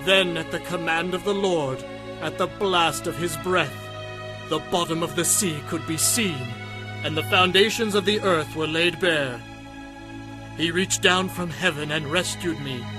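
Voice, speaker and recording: A man's voice: fairly high pitch, measured pace, natural voice. An actor playing a devout warrior king, reciting praise to God solemnly and fervently in a dramatized scripture reading. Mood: solemn awe. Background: music.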